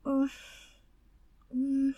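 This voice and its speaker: A woman's voice: high voice, monotone, drawn-out words, mellow, no echo. A woman playing a timid, curious, easily flustered female servant in an intimate audio roleplay, murmuring breathily and stammering. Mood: tempted, hushed.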